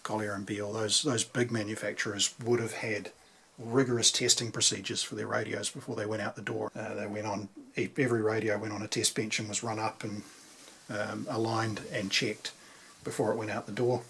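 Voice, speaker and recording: A man's voice: on the low side, flat delivery, brisk, fairly bright tone. A man with a New Zealand accent giving a casual, conversational explanation at a workbench as a radio repairer, thinking aloud. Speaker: vintage radio repairer presenting. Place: indoors at a workbench. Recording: clear background noise with clicks.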